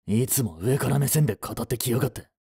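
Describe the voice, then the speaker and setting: An actor playing a jealous, hot-tempered teenage male delinquent student in a romantic audio drama, talking in rough slang. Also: mid-range voice, fast, warm tone, dry recording, clean studio sound. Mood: angry, defiant.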